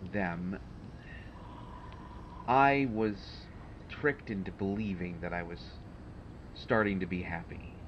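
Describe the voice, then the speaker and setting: A man's voice: sits low, highs cut off, background noise. A man playing a haughty, prickly supervillain mastermind in an audio roleplay, speaking in formal, condescending, exasperated phrases. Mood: wistful, guarded. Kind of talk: audio roleplay acting addressed to the listener.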